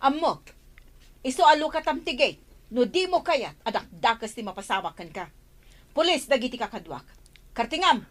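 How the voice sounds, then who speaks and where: A woman's voice: high-pitched, sing-song, normal speed, long pauses. A woman playing a threatening, imperious visitor in a Filipino audio drama, with an Ilocano accent. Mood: angry, threatening. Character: threatening, imperious woman demanding the gate be opened.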